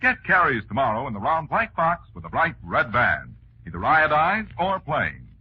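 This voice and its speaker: A man's voice: mid-range voice, wildly swooping pitch, moderate pace, husky. A man with an American accent announcing and reading advertising in emphatic 1940s radio style. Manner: emphatic sales delivery. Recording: muffled 1940s radio recording.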